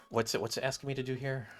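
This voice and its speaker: A man giving casual, off-the-cuff commentary on a game, rambling and thinking aloud as he plays. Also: mid-range voice. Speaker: gaming video creator.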